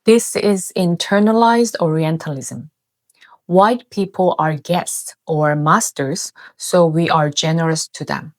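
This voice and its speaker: Korean-accented female host, 30s, lowish pitch, earnest scripted video-essay read. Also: takes it slowly. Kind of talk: scripted narration.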